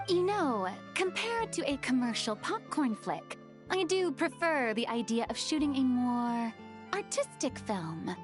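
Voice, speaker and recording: An actress voicing a composed, thoughtful female studio partner who speaks in a measured way. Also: high-pitched, very animated, over music. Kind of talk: video game voice acting.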